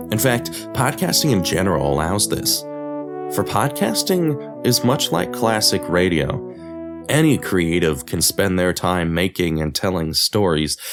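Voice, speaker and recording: A man with a low voice delivers scripted podcast narration in the role of host. Faint music plays along with his voice. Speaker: podcast host and audio drama creator.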